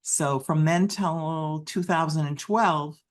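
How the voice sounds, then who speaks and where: Interpreter voicing a signer live, choppy phrases, keeps restarting and fixing words. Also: medium pitch. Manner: halting phrasing with frequent restarts and self-corrections. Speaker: sign language interpreter voicing a deaf speaker. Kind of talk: live first-person interpretation of a personal talk.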